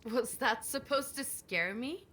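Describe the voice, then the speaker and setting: A woman's voice: fairly high pitch, unhurried, fairly bright tone. An actress voicing a bold, playfully taunting young woman hero in a video game. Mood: teasing.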